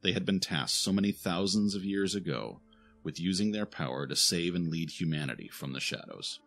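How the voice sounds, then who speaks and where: A man's voice: low-pitched, expressive, moderate pace, vocal fry, fairly bright tone, faint music behind. A man reading scripted audio drama narration in a dramatic, solemn storytelling manner.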